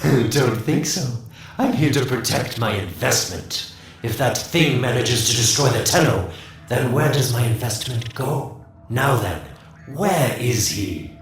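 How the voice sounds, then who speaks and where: A man's voice: medium pitch, expressive, a little slow. A man playing a sly, mocking, self-interested schemer in a video game, with a teasing, theatrical drawl. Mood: sly defiance. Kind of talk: video game character acting. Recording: echoey, distant, under loud game music.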